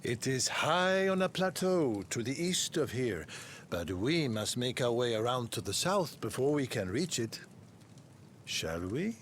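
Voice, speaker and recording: An actor playing a learned, excitable male scholar of magic in a video game, speaking formally and theatrically. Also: mid-range voice, measured pace, slight background noise. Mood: genial, brisk. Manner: formal, bookish, exclamatory.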